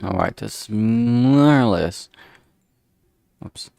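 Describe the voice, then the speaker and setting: The man you'd hear on a late art livestream, close and dry on the mic, murmuring his steps in a lowish voice as he draws. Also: vocal fry, dark tone, no echo. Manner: halting, self-talking, mutters to himself. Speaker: streamer making visual novel art. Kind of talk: casual thinking aloud while drawing on stream.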